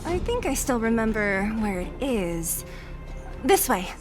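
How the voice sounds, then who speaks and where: A brash young woman fighter from a video game, speaks in a high voice at an unhurried pace. She is blunt and cocky, with casual phrasing and very animated intonation. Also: over music. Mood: brisk, casual.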